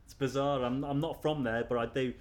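A man's voice: mid-range voice, fairly even intonation, a little fast. A middle-aged man from North Wales with a Scouse accent, talking casually in an interview and rambling with lots of fillers and restarts.

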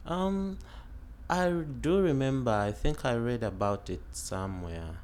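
A man's voice: medium pitch, unhurried, darkish tone, a little hiss or hum. A man in his late twenties from Uganda, an art student answering interview questions in a hesitant, casual way with many fillers. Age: 20s. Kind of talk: casual oral history interview.

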